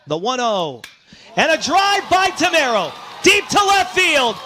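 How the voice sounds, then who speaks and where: A man calling live baseball play-by-play, descriptive between plays and excitable on big ones. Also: extremely high pitch, a little slow, shouting, background noise. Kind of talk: live sports play-by-play commentary. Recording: crowd and music behind commentary. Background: ballpark crowd, music.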